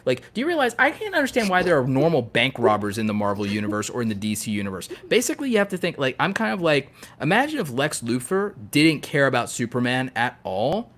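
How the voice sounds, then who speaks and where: A man's voice: mid-range voice, huge pitch swings, quick pace, hardly any pauses, a bit bright. A man chatting casually as a podcast co-host, riffing playfully and repeating himself for emphasis, with some swearing. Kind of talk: casual chat about fictional universes.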